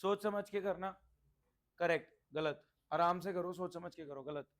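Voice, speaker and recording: A man's voice: high-pitched, normal speed. A man teaching a class interactively, instructive and repetitive, often checking understanding with questions and mixing in English terms. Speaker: accountancy teacher.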